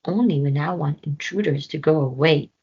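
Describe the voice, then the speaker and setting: A self-important caterpillar from a storybook, as a woman reads him. He is curt and dismissive, with a husky, creaky voice and a slightly dull recording. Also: low voice, moderate pace, a little mellow, heavily acted. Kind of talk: children's storybook read-aloud.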